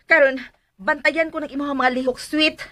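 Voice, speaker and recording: A woman playing a jealous, possessive sweetheart with shrill, insistent questions in a melodramatic radio drama. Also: steady tone, moderate pace, a bit bright, no room sound.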